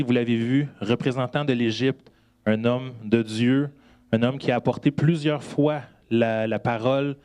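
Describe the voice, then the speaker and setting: A man's voice: mid-range voice, a little mellow, clear, resonant tone. A man introducing a guest preacher to the congregation, hesitant with frequent fillers. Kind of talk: church announcement.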